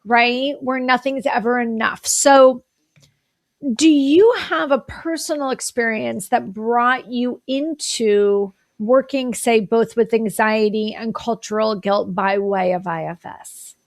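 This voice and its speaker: Woman, podcast host, fairly high pitch, explanatory, clinical wording. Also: slow. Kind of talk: interview hosting.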